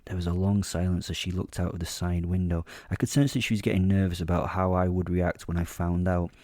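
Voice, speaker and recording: A man giving brooding, measured first-person narration as a predatory killer in a horror audio drama. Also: low-pitched, flat delivery, darkish tone, no echo, clean studio sound.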